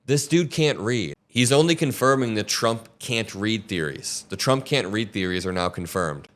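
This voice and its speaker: Sarcastic young man with a low voice. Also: expressive, fairly bright tone. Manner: emphatic, sarcastic, shifts between fast and slow. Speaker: political commentary host. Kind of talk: political commentary.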